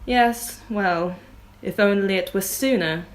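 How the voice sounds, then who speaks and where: A woman's voice: mid-range voice, slow, slight background noise, lightly acted, low-quality recording. A woman playing a genteel, arch lady of the manor who is suspicious of her house guest.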